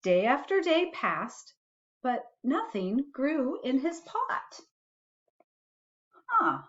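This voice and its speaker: A woman reading a children's storybook aloud expressively, adding musing asides to young listeners in a deliberate way. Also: sits high, very animated, fairly bright tone, muffled recording.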